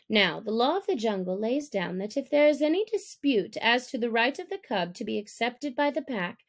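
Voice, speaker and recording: A woman narrating a classic story as an audiobook reader, in a measured, expressive storytelling manner. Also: a little high.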